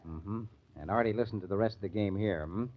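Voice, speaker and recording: A man's voice: fairly low pitch, fast talker, close to an everyday voice. A man acting a sardonic police lieutenant in an old-time radio crime drama, needling and interrogating. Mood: sardonic, probing. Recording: dull vintage radio recording.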